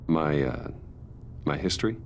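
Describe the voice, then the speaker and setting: A guarded, low-voiced wealthy businessman. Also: animated, unhurried, a little hiss or hum, full character voice. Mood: guarded, composed. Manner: guarded, terse, defensive. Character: guarded wealthy businessman with a secret hero life. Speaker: voice actor in a story video game. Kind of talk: dramatic game dialogue. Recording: dialogue over clear background music.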